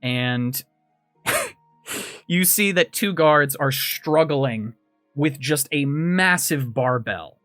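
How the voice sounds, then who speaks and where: A man's voice: mid-range voice, expressive, drawn-out words, pauses often, a bit bright, no echo, soft background music. A man narrating a tabletop game as game master, describing scenes in a conversational, improvised way.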